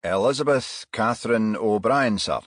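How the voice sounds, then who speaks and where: A man's voice: on the low side, moderate pace, dry recording, studio quality, voice pushed up, natural voice. A man playing a dutiful, factual police detective in a crime audiobook. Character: dutiful male police detective reporting to his boss.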